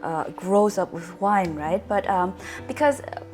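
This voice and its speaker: A woman's voice: medium pitch, expressive, measured pace, darkish tone, soft background music. A woman from Germany explaining casually in conversation, hesitant and often searching for words. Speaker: wine-savvy guest explaining to host.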